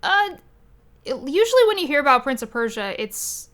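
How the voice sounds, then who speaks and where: A woman talks casually as a podcast host, in a distinctly bright voice pitched a little high. Her delivery is informal and halting, and she often restarts to correct herself. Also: big pitch swings. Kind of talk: casual chat about games and movies.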